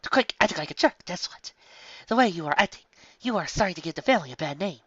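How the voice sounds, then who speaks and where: A man's voice: high voice, animated, fast, a bit bright, no room sound, dull audio, voice pushed up. A man reading a fan story aloud, voicing a stern, guilt-tripping ghostly mother who scolds her grown son. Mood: scolding. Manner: strict, scolding.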